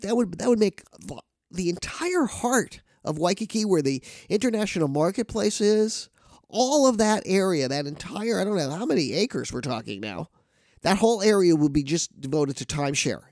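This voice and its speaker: A man's voice: on the high side, darkish tone, no room sound, clean studio sound. A man with a nasal, congested voice from a cold, hosting a casual news talk show and rambling with many restarts. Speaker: podcast host.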